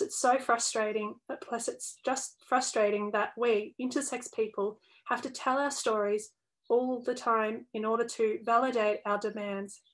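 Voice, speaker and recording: A young woman earnestly reading a first-person essay aloud for a youth publication, with a steady delivery and small stumbles along the way. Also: fairly high pitch, low-quality recording. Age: young adult.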